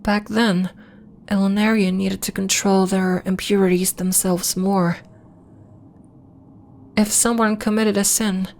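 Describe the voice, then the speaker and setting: A woman playing a gentle, earnest alien temple healer in an ASMR roleplay, speaking in a measured, formal, reassuring way. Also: mid-range voice. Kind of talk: slow, soft ASMR roleplay acting.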